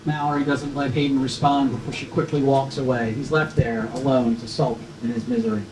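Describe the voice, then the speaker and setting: A man's voice: medium pitch, level tone, fairly quick, darkish tone. A man reading stage directions as scripted narration at a screenplay table read. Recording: roomy, distant mic, faint noise.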